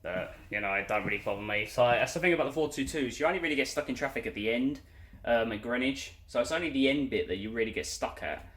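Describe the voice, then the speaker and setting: Hoarse rambling London bus driver. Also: male voice, mid-range voice, fast talker, a bit bright, echoey room. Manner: rambling, filler-heavy, loses his train of thought. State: hoarse, croaky voice. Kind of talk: casual vlog chat. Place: inside a bus cab.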